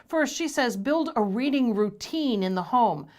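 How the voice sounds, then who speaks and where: A woman's voice: on the high side, expressive, a little slow, a little mellow. A woman reporter reading scripted narration for a television news feature.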